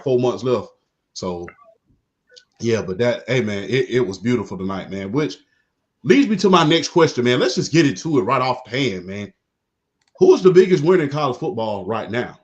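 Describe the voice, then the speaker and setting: A man's voice: medium pitch, darkish tone. A man hosting a casual sports podcast, laid-back and saying "man" after nearly every phrase. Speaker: podcast host. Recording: dull lo-fi sound.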